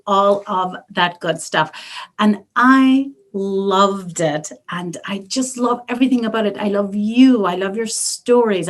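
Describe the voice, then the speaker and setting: A middle-aged woman from Northern Ireland with a Northern Irish accent, an estate planning attorney giving a warm, effusive library talk. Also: a little high. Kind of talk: library presentation.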